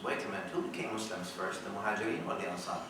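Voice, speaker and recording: A man's voice: deep voice, level tone, very fast, background noise. A man giving a religious lecture to a live audience, explaining a verse piece by piece and quizzing his listeners. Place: lecture room in a college. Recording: very echoey room, mic at a distance.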